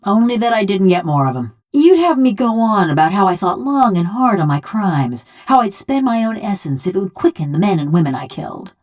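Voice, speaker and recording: A woman's voice: medium pitch, very animated, fairly quick, few pauses, darkish tone, lightly acted. An actress playing a wry, blunt, vengeful woman killer whose soul lives in a bronze body, speaking in a folksy rustic drawl for a video game. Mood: unrepentant. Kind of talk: video game character dialogue.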